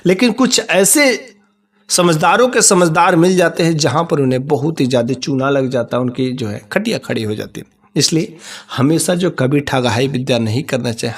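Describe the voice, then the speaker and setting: High-pitched male storyteller. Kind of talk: informal moral storytelling.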